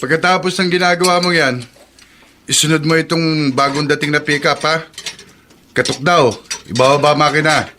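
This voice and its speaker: A man with a Filipino accent playing an expressive repair shop owner and family man in a radio drama. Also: fairly high pitch, normal speed, a little hiss or hum. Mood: busy, bossy. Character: friendly auto repair shop owner, wronged husband and father.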